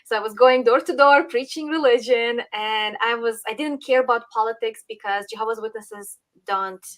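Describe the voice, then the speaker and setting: Woman, slightly high voice. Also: moderate pace, a bit bright. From Western Ukraine. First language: Ukrainian. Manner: earnest, with frequent ums and small laughs. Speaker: podcast guest. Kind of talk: casual interview talk.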